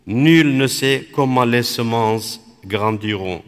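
Slow, reflective priest in a hall. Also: male voice, mid-range voice, clean tone. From Iraq. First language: Aramaic. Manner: measured, contemplative, phrase by phrase. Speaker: Dominican priest. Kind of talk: spiritual reflection.

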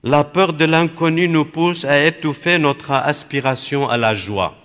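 Slow, reflective priest in a hall. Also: male voice, a little high, a bit bright, smooth. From Iraq. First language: Aramaic. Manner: measured, contemplative, phrase by phrase. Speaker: Dominican priest. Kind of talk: spiritual reflection.

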